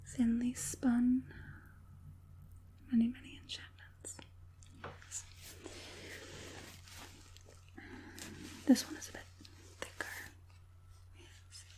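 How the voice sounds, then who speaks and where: A woman voicing an evil witch puppet master in a whispered ASMR roleplay, coaxing and falsely caring, slow and deliberate in a breathy hush. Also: fairly high pitch. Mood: absorbed, hushed. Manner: slow, deliberate, soothingly menacing.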